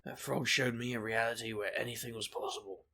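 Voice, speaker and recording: A brash male investigator with a husky, creaky voice, played by a man in an urban fantasy audio drama. He is a reckless charmer who jokes in a glib deadpan at a middling pitch. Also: a little fast. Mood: glib, cocky.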